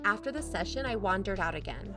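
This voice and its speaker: A woman's voice: medium pitch, fast talker, music in the background, close to an everyday voice. A woman playing a sociable, slightly flustered aunt in an audio drama, chatty and conversational. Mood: wry, chatty. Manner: animated, chatty. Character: sociable, flustered aunt covertly looking around.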